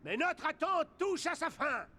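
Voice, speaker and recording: An actor playing a solemn, reverent announcer in a martial-arts video game, speaking in formal, ceremonious declamation over noisy game audio. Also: male voice, extremely high pitch, sing-song, a little slow, bright, forceful tone, full character voice. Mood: solemn, proud.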